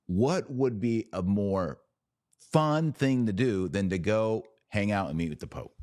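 A man with a very low-pitched voice gives conversational opinion commentary as a podcast host. He is emphatic, leaning on repetition and rhetorical questions with a wry streak. The recording is dry, with hardly any room sound. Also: lively intonation, a little slow, a little mellow, no echo. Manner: emphatic, repeats words and asks rhetorical questions.

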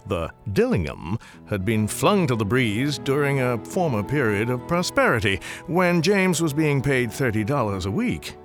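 Actor as wildly expressive male storybook narrator. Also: medium pitch, close to an everyday voice. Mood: wry, droll. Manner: wry, ornate, deliberate storytelling. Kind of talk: scripted dramatic narration. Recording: music clearly behind.

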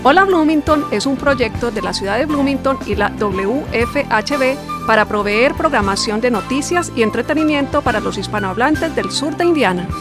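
A woman's voice: a little high, lively intonation, normal speed, hardly any pauses. A woman announcer reading a scripted radio station announcement. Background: loud music.

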